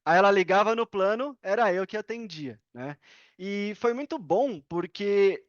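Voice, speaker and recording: High-voiced Brazilian man telling his story. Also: expressive, measured pace, no room sound, highs cut off. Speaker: podcast guest. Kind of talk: casual interview storytelling.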